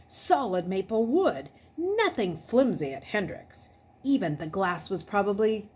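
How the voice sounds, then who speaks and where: Low-pitched woman reading aloud, noisy background. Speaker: read-aloud host. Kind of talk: chatty greeting, then expressive children's novel read-aloud.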